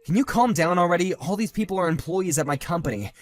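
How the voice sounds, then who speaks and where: A man playing a calm, dry-witted grown man. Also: high-pitched, quick pace, faint music behind. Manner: level, dry and cutting. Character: calm, sardonic grown man confronting an old bully. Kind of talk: one-man voiced drama.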